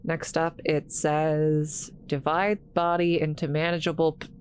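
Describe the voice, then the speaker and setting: Woman acting as a flustered low-voiced scientist, awkward. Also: level tone, slight background noise. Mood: coaxing, awkward. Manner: awkward, halting, rambling, nervous. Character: flustered awkward young woman government scientist tending an alien. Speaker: voice actor. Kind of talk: scripted roleplay audio drama, one-sided dialogue.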